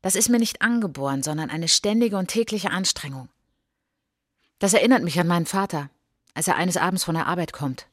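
Eine Schauspielerin spielt in einem literarischen Hörspielmonolog eine stolze, verletzte junge Französin, die nachdenklich, eindringlich und bedacht von sich erzählt. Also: female voice, fairly low pitch, expressive. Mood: proud, defiant, then sorrowful, hushed. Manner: intense, deliberate, sometimes dropping to a whisper. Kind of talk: literary radio drama monologue.

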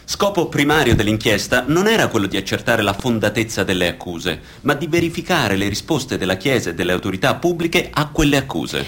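A man's voice: mid-range voice, sing-song, a little fast, few pauses, fairly bright tone. A man reading scripted reports and testimony in a radio documentary play. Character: male victims recounting teenage abuse by priests.

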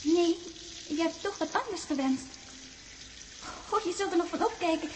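Chirpy, bossy young girl, piping high, playful teasing, faintly noisy sixties radio play. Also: moderate pace. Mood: brisk, bossy. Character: childlike, teasing, bossy young girl Louise who never ages. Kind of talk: 1960s radio drama acting. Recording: old 1960s radio, steady hiss.

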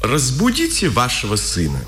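Coldly polite, echoing Gestapo officer. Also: male voice, mid-range voice, wildly swooping pitch, moderate pace, a bit bright. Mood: cold politeness. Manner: formal, clipped orders. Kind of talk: 1950s Soviet radio drama acting. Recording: old echoey radio drama, music behind.

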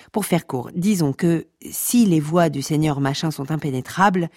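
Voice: medium pitch, a little mellow, no echo, studio quality, close to an everyday voice. Une personne qui joue la narration omnisciente d'une fiction audio comique, pompeuse, théâtrale, taquine avec son auditoire. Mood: pompous, impatient. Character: pompous, mock-scholarly omniscient narrator addressing listeners. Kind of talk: scripted comic fiction narration.

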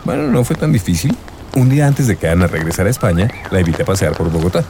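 A man's voice: low voice, expressive, fast, noisy background. An actor playing an earnest young man in love, searching and telling his story in a radio drama. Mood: wistful, earnest.

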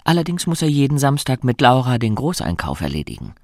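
A man's voice: medium pitch, very animated, a little fast, no room sound, studio quality. A man narrating a literary radio drama in a scripted, storytelling style.

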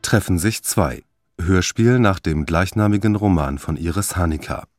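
A man reading scripted radio drama credits as an announcer, measured and deliberate. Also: low-pitched, soft background music.

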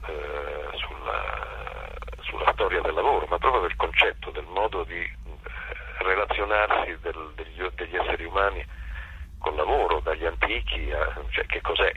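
Male interviewee, very low but forceful voice with a cold, reflective and halting, faint hum behind. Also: animated, phone-quality sound. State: has a cold. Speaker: author and actor guest. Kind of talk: spontaneous interview explanation.

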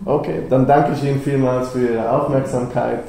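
A man's voice: mid-range voice, fairly even intonation, normal speed, creaky, warm tone. A male rabbi teaching a class to elderly people in the common room of a retirement home, speaking in a polite, formal way. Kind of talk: lecture to elderly listeners. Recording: roomy, echoing room with faint noise.

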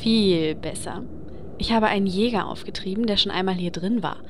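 A woman's voice: mid-range voice, moderate pace, a little hiss or hum, lightly acted. A woman acting a chatty, vain, organizing adventurer in a comedic fantasy game audio drama. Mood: breezy, assertive.

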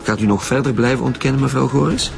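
An actor with a Flemish accent playing an impatient, blunt lead homicide detective in a radio drama. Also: male voice, medium pitch, steady tone, fast talker, gravelly, over music, lightly acted. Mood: stern, impatient. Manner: blunt, pressing.

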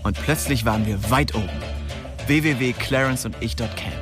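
A man acts a young, streetwise dealer narrating a radio crime play. He talks in slangy, hard-boiled phrases at a medium pitch. Music runs underneath the studio recording. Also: normal speed, lightly acted. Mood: wry. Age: young adult. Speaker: narrator and lead character. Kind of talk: first-person radio crime drama narration and dialogue.

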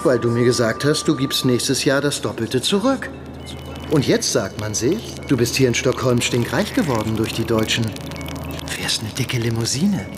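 A man's voice: medium pitch, sing-song, normal speed, lightly acted. A man playing a needling former editor who cadges money in a radio drama, chatty and pointed, with music behind. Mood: wheedling, needling. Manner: chatty, wheedling, pointed questions. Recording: music bed under the voice.

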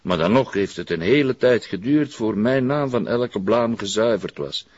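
An actor playing a guarded, formal middle-aged Flemish psychiatrist in a crime drama, speaking in a measured, evasive way. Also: male voice, lowish voice, lively intonation, darkish tone, slightly rough. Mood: defensive, formal. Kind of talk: television crime drama dialogue. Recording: dull, echoey room sound.

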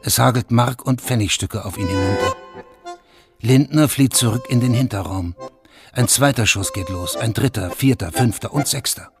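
A man's voice: mid-range voice, moderate pace, creaky. A man narrating a scripted radio drama in a sober, matter-of-fact manner. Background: music.

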